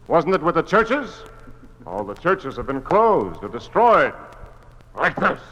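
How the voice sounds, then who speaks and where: An actor playing a principled, defiant museum official in an old-time radio drama, with an earnest, biting, theatrical delivery. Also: male voice, sits high, very noisy. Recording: old radio recording, long hall reverb.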